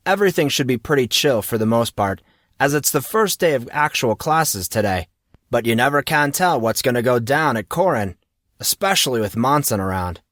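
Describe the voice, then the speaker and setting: A man's voice: mid-range voice, a bit bright, no echo, natural voice. A laid-back teenage schoolboy, acted by a man, chatting in breezy slang. He stretches words for emphasis like an easygoing pal in an audio story, in a dry, close recording.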